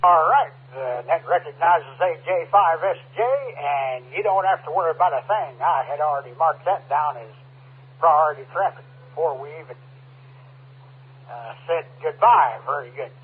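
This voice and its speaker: A man hosting an amateur radio check-in net in a folksy, courteous way with formulaic calls, husky, heard over two-way radio. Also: on the high side, lively intonation, moderate pace. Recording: narrowband two-way radio audio.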